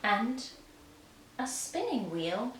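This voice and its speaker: A woman tells a children's fairy tale slowly and deliberately in a fairly high voice. She is a little far from the mic in an echoey room. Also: very animated. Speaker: storyteller and puppeteer. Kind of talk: scripted fairy-tale narration for young children. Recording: echoey room, distant mic, faint noise.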